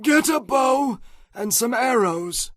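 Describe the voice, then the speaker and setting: Stern old dying prophet, audio Bible drama: very high voice, emphatic commands, dry sound. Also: male voice, expressive, slow pace, fairly bright tone, dry recording, exaggerated voice. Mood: commanding.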